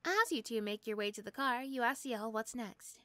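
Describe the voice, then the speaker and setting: A woman's voice: fairly high pitch, expressive, moderate pace, fairly bright tone, no echo. A woman reading scripted second-person narration for an ASMR roleplay.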